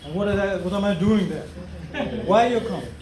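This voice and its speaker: A man's voice: sits high, a little fast, crowd noise. An older Trinidadian man giving an earnest, preachy speech to relatives at a family gathering, repeating phrases for emphasis. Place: home gathering after a funeral service. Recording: echoey room with loud background noise.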